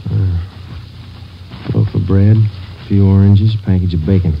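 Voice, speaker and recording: Low-voiced, deadpan police detective. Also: male voice, a little slow, gravelly, a little hiss or hum. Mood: matter-of-fact. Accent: American. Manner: deadpan, terse. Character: stoic, businesslike male police detective sergeant. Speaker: lead actor and narrator. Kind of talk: 1950s radio drama, clipped scripted narration. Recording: muffled old radio broadcast, musical score.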